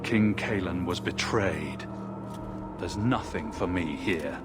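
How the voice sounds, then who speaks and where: A man's voice: slow pace, loud music behind the voice. An actor playing a guarded, pragmatic male adventurer in a fantasy game, speaking firmly and tersely with skepticism. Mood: defiant. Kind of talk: dramatic fantasy game acting. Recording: very loud background ambience and rumble.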